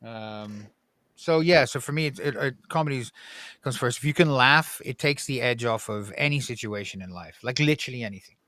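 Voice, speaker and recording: A man who grew up in Egypt, a podcast guest talking casually in a blunt, profane, animated way. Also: mid-range voice, moderate pace, dry recording.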